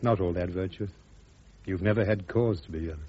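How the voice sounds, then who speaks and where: An actor with a British accent playing a proud, wounded army officer and husband in a radio drama, earnest and clipped. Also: male voice, deep voice, fairly quick. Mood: pleading, hurt. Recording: dull, lo-fi radio drama recording.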